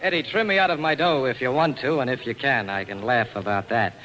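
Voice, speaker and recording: An actor playing a tough, wary club owner and scheming rival in a 1940s radio drama. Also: male voice, mid-range voice, brisk, fairly bright tone, close to an everyday voice. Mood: menacing. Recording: faintly noisy 1940s radio broadcast.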